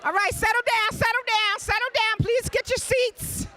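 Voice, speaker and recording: A woman's voice: very high-pitched, steady tone, moderate pace, pushed and bright. A woman co-hosting a celebration, upbeat and commanding as she directs people on stage. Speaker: co-host. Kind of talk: emceeing a celebration. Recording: crowd noise behind.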